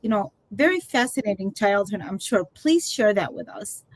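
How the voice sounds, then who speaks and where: A woman's voice: mid-range voice, lively intonation, normal speed, no echo. A woman hosting a virtual interview, asking questions in a friendly way with lots of ums. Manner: friendly, filler-heavy questions. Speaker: interview host. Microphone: video call.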